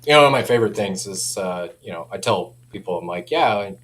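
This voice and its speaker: A man's voice: low voice, animated, fast talker, fairly bright tone, a little hiss or hum. A man chatting casually and enthusiastically about products as a company representative, in informal language. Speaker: audio company representative, guest.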